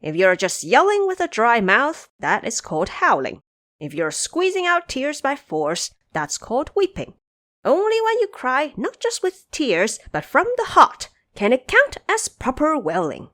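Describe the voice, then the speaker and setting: A cocky monkey king, played by a woman in a storybook tale, teasing and bossing his companions around. His voice swings up high and wide, and the sound is dry and close. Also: a little slow, dry recording, exaggerated voice. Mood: lively boastful. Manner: teasing, lively, bossy. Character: mischievous boastful male monkey king.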